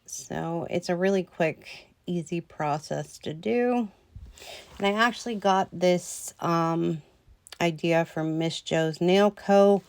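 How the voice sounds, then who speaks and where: Slow, gravelly woman explaining nail art. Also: lowish voice, darkish tone, no echo. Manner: slow, rambling, many ums. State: losing her voice a little. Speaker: nail tutorial host. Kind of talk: casual voice-over tutorial.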